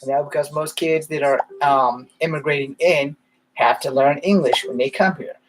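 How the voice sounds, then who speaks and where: A man's voice: fairly high pitch, moderate pace, low-quality recording. A man rambling through candid stories in a casual monologue, swearing now and then. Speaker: vlogger.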